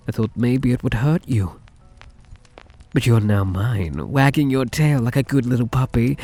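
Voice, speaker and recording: A man playing a softly possessive, doting young mafia heir in an intimate ASMR roleplay, coaxing the listener tenderly. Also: mid-range voice, vocal fry, slightly rough. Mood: yearning, possessive.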